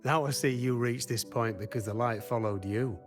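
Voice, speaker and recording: A man playing a calm, philosophical weaponsmith in a video game, with measured, reflective delivery. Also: medium pitch, music in the background, natural voice. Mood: reflective, wise. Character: calm, philosophical male weaponsmith and archer's mentor.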